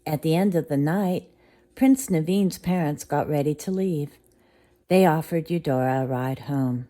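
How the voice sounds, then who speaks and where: A woman reads a bedtime storybook aloud to a child in a low, mellow voice. Her delivery is gentle and unhurried throughout.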